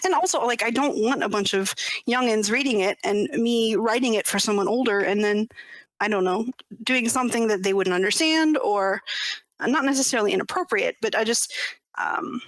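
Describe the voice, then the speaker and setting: A woman's voice: fairly high pitch, normal speed, dry recording, low-quality recording. Hesitant woman in her thirties, dry sound. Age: 30s. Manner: hesitant, many ums and restarts, laughs often. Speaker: interview guest, webcomic creator. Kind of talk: casual interview chat.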